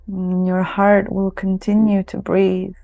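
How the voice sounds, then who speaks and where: A woman leading a guided yoga nidra meditation in a soothing, unhurried way, with long pauses between phrases. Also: medium pitch, monotone, creaky, dry recording, phone-quality sound. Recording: close dry voice over soft music. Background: soft music.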